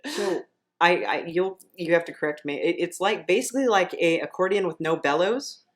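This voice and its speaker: A woman's voice: fairly low pitch, normal speed. A woman hosting a podcast, chatting casually as she interviews a guest.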